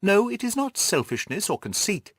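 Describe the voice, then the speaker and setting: A man's voice: high voice, sing-song, moderate pace, husky, dry recording, voice pushed up, heavily acted. A man voicing a haughty, disputatious detective who lectures his friend pompously in an audiobook. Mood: haughty, lecturing. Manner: pompous, didactic. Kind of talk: audiobook character dialogue.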